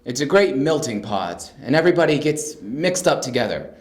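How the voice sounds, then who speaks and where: A man's voice: medium pitch, big pitch swings, normal speed, lightly acted. A man acting a supportive, philosophical older brother in an amateur audio drama, recorded in an echoey room. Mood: reassuring, reflective.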